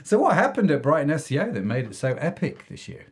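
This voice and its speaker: A man's voice: a little high, big pitch swings, quick pace, darkish tone, slightly rough. A man hosting a podcast, reading an upbeat intro and then chatting enthusiastically with a guest. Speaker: podcast host. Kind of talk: scripted intro then casual interview chat.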